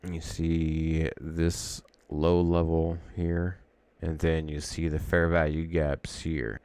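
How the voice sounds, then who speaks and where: Deep gravelly-voiced man musing over charts. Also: little pitch movement, slow, a little mellow, dry recording. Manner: rambling, self-correcting, musing aloud. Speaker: trading educator. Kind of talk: casual think-aloud chart walkthrough.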